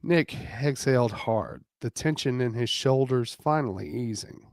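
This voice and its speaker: A man's voice: medium pitch, measured pace, a little mellow, dry recording, clean studio sound. A man narrating a romance story in a dramatic reading style.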